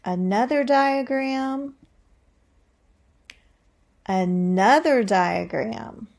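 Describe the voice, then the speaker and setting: A woman teacher reading aloud to young children in a deliberate, expressive way, thinking aloud and putting questions to her listeners. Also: a little high, creaky.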